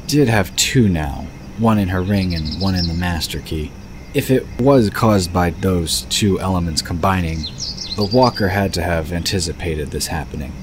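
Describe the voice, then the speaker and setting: A man with a deep, low voice narrates a scripted fantasy audio drama. He tells the story in the third person, with birds and crickets clearly audible behind his voice. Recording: background noise of birds and crickets.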